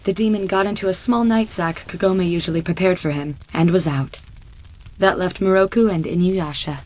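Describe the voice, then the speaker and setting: A woman's voice: fairly low pitch, normal speed, a little mellow, slight background noise. A woman narrator reading a scripted story as part of an audio drama.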